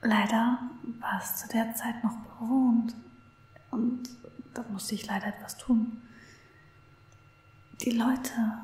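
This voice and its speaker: A woman playing an obsessive, lovestruck stalker in an ASMR roleplay, cooing tenderly to her captive in an unhurried, breathy whisper, close to the microphone. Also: medium pitch, a little mellow, echoey room, close to an everyday voice. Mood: coolly defensive.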